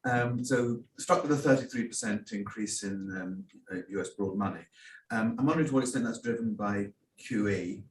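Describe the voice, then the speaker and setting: A low-voiced academic man poses a question from the audience, hesitating with frequent ums. His voice comes from far back in an echoey hall, with a soft ambient hum around it. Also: moderate pace. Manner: hesitant, many ums, quiet until asked to speak up. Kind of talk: asking a question from the floor.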